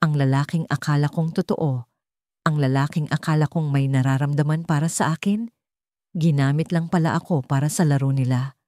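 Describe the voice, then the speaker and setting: A woman reading a scripted first-person sad love story in a dramatic, measured storytelling manner. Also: sits low, steady tone, mellow, no room sound, clean studio sound. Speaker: story narrator.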